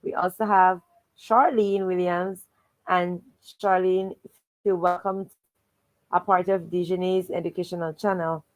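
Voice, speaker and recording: A woman's voice: on the low side, little pitch movement, measured pace, poor audio. A woman hosting a children's read-aloud livestream, encouraging and fond of repeating stock welcome phrases. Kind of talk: livestream hosting, greeting viewers and recapping stories.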